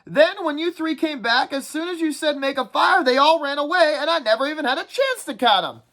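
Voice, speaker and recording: A woman reading a book aloud and voicing a whiny, anxious boy with glasses in a high, complaining voice. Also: lively intonation, fairly quick, a bit bright. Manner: shrill, complaining, frantic. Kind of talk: storybook read-aloud.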